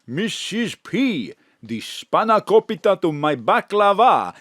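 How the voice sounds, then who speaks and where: An actor playing a cheerful, flirtatious foreign neighbour who mixes up English words. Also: male voice, high-pitched, slow pace, no echo, clean studio sound, heavily acted. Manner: warm, flirtatious, lively. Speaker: Sakis, café owner friend. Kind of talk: live audio drama acting. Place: home studio.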